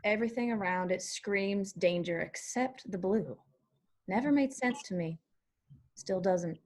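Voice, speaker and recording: A woman's voice: mid-range voice, a little slow, darkish tone, close to an everyday voice. A woman playing a guarded, wistful, plainspoken young woman in a screenplay table read.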